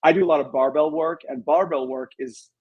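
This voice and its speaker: A man's voice: fairly high pitch, fairly even intonation, fairly quick, slightly rough, highs cut off. A middle-aged man answering as an interview guest, explaining things conversationally and with emphasis. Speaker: interview guest, author and martial arts instructor.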